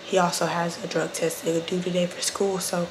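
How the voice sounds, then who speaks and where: A woman in her twenties with a sick, hoarse, partly lost voice, chatting casually to vlog viewers. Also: on the low side, level tone, normal speed. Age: 20s. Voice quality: hoarse, breaking into whisper. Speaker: vlogger. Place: indoors, mostly a bedroom at home. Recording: clearly noisy camera audio.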